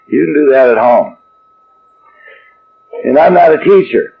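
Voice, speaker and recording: A man's voice: medium pitch, expressive, measured pace, creaky. A man from Chicago giving a joking, rambling talk at a recovery workshop to a live audience. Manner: wry, rambling, self-deprecating. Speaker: AA workshop speaker. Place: meeting room before an audience. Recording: dull lo-fi archive tape with faint hiss.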